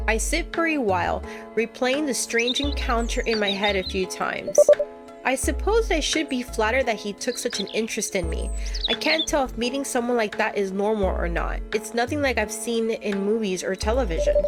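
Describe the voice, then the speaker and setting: A woman narrating a visual novel aloud as a let's player, with expressive, conversational reactions. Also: on the high side. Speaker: gaming streamer, let's player. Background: game music.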